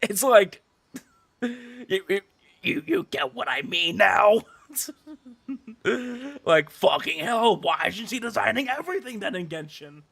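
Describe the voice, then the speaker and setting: A man doing casual livestream commentary over a game, chatting with viewers in an animated, exasperated, repetitive rant. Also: sits high, slow pace, gravelly, husky. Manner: animated ranting, exasperated, swearing, repetitive emphasis.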